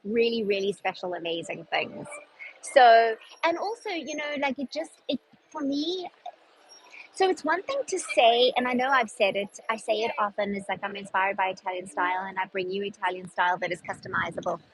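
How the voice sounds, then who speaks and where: A woman's voice: medium pitch, animated, brisk, slight background noise, rough recording. A middle-aged woman with a South African accent, a stylist and designer chatting casually and upbeat with viewers on a live shopping stream. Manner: chatty, upbeat, frequent laughs and restarts.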